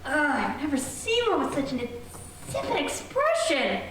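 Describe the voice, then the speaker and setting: A woman's voice: high-pitched, wildly swooping pitch, moderate pace, fairly bright tone, exaggerated voice. A woman playing a fussy, exasperated female department store floor walker with theatrical, scolding exclamations in old-time radio comedy style. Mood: flustered, appalled. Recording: distant, very echoey room with hiss.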